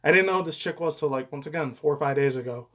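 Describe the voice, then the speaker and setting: A man's voice: a little high, quick pace, fairly bright tone. A young man giving rambling, casual commentary as a vlogger, slangy, digressive and full of swearing.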